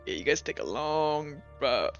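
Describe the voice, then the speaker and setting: A man acting as game master, chatting casually and narrating in an informal, halting way as he thinks aloud. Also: fairly high pitch, big pitch swings, muffled recording, soft background music.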